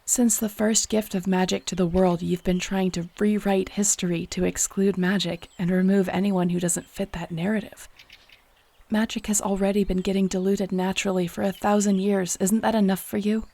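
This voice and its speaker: An actress playing a defiant, principled young shapeshifter heroine in an audio drama, speaking with earnest, firm indignation. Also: female voice, medium pitch, level tone, normal speed, gravelly, mellow. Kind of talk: dramatic audio roleplay acting.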